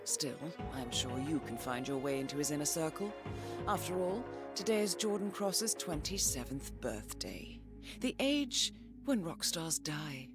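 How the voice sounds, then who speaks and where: A woman playing a cool, authoritative intelligence handler in a game cutscene, speaking formally and with measured, dry knowingness. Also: low voice, big pitch swings. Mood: wry, composed. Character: cool, authoritative female handler briefing an assassin. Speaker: mission handler narrating briefings. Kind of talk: scripted game cutscene voice acting. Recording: loud music under the voice.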